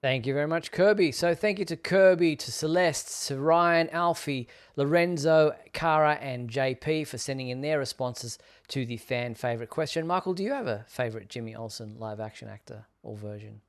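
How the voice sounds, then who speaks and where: Clear-voiced man hosting. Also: mid-range voice, normal speed, hardly any pauses. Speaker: live show host. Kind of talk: casual live show presenting, reading viewer messages.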